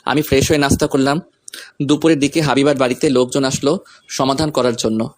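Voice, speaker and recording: A man's voice: mid-range voice, fairly even intonation, brisk, no room sound. Man narrating a scripted story. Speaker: story narrator and lead character. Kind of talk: scripted first-person story narration with dialogue.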